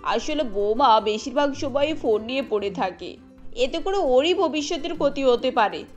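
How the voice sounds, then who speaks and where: Lady doing a worried middle-aged bengali cartoon mother-in-law, gentle advice, voice dropped a bit, light music. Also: high voice, expressive, normal speed, highs cut off, pitched below the performer's own voice. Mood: worried, advising.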